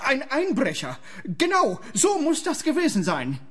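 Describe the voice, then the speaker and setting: Defensive lawyer, high voice, lively pitch, indignant. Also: male voice, unhurried, husky, exaggerated voice. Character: nervous, defensive male lawyer.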